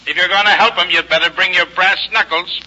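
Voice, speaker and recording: An actor playing an irritable, sardonic police lieutenant over a telephone filter in a 1950s American radio drama, with an American accent. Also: male voice, sits high, fairly even intonation, a little fast. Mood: impatient, blunt. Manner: sardonic, impatient, emphatic. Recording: thin, dull vintage radio recording.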